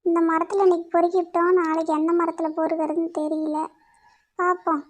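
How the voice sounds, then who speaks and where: A performer voicing a hard-up, grumbling village mother in an animated story, in colloquial speech. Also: extremely high pitch, a little fast. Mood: resigned. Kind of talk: cartoon dialogue in colloquial rural speech.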